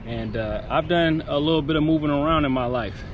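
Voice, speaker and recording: A man's voice: fairly high pitch, brisk, highs cut off. A young man, talking to the camera outdoors in a rambling, reflective way with many fillers. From East Bay Area, California. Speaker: fitness vlogger. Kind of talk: casual vlog talk to camera. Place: outdoors by a city convention center. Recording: outdoor street noise, cars passing. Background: city traffic noise.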